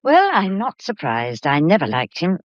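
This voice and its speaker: A woman's voice: on the low side, wildly swooping pitch, moderate pace, no echo, highs cut off. Genteel, confiding woman, close sound. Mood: worried, confiding. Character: fussing, confiding, genteel English gallery employee, sympathetic. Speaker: actor. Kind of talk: 1940s period mystery drama acting.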